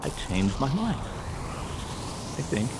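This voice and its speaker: A man's voice: mid-range voice, big pitch swings, very noisy. An actor playing a gloating, sadistic convict killer in a pulp audio drama, drawing out his threats. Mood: gloating menace. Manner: drawn-out sadistic threats building to shouts. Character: menacing male convict with magic powers, gloating killer.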